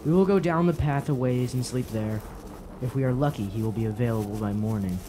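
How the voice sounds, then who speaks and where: An actor playing a cautious, earnest traveler in a fantasy radio drama, speaking formally and gravely. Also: male voice, medium pitch, dark tone, a little hiss or hum, lightly acted. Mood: resigned, somber. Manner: formal, grave, measured phrasing. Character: cautious, earnest male traveler wary of dark woods.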